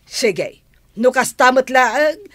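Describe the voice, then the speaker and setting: A woman's voice: high-pitched, animated, takes it slowly, a bit bright, dry recording, exaggerated voice. A scolding, impatient moneylender in a radio drama, with an Ilocano accent. Her delivery swings widely and takes its time, in a dry, close sound. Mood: angry scolding. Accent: Filipino (Ilocano). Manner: nagging, confrontational.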